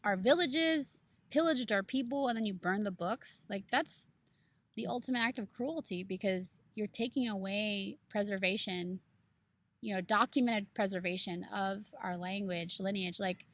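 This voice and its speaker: A woman's voice: medium pitch, moderate pace, fairly bright tone, dry recording. A woman co-hosting a casual book club podcast, talking earnestly and rambling with plenty of "like" and "you know".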